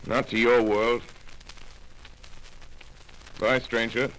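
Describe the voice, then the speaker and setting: An actor playing a weary, principled scholar in a 1930s radio drama, speaking in a measured, restrained way with curt replies. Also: male voice, mid-range voice, little pitch movement, a bit bright, low-quality recording. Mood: wary.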